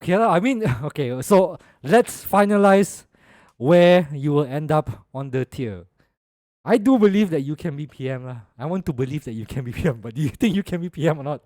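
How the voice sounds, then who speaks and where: A man's voice: a little high, animated, fairly quick, a little mellow, no echo, clean studio sound. A man with a Malaysian English accent, co-hosting a podcast in casual, colloquial chat sprinkled with local particles. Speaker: podcast co-host.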